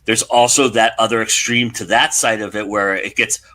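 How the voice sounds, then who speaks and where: A man's voice: lowish voice, steady tone, normal speed, bright, forceful tone. A man hosting a conversational interview, thinking aloud in long, reflective points. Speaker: interview host and filmmaker.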